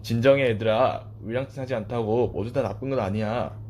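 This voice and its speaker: Storybook rabbit, played by a man: mid-pitched, fair-minded, soothing voice of reason. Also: fairly quick, a little mellow, slight background noise, close to an everyday voice.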